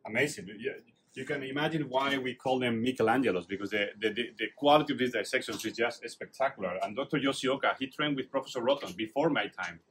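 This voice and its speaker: A middle-aged man, a neurosurgeon leading a casual tour of an anatomy lab, praising the work in an enthusiastic, halting way with many fillers. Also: medium pitch, a bit bright, a little hiss or hum.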